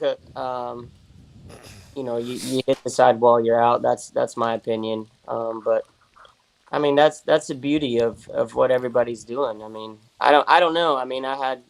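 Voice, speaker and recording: A man with a gravelly, creaky voice weighs in on the panel. He talks hesitantly, leaning on "I mean" and "um" while he circles around his opinion. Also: mid-range voice, low-quality recording.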